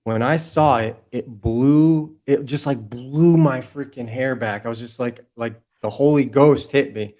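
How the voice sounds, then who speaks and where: A man's voice: medium pitch, a little slow, hardly any pauses, dark tone. A man talking as a podcast guest, impassioned and rambling, swearing freely and restarting his sentences as he builds his case. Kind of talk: heated casual conversation.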